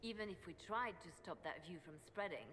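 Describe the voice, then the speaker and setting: An actress playing a courteous diplomat in a fantasy game, with a polite, measured and articulate delivery. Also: female voice, lowish voice, a bit bright, long reverb tail, a little hiss or hum, close to an everyday voice. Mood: measured, concerned. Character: poised, courteous fantasy diplomat and ambassador woman. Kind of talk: dramatic fantasy game dialogue.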